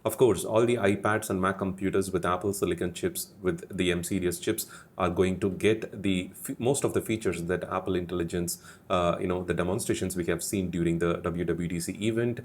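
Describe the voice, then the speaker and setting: Male tech video presenter, low voice, measured explanatory delivery aimed straight at viewers. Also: fairly even intonation.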